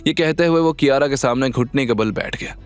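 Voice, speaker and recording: A man's voice: medium pitch, a little fast. Male narrator of a suspenseful audio drama, music underneath. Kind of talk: dramatic scripted story narration.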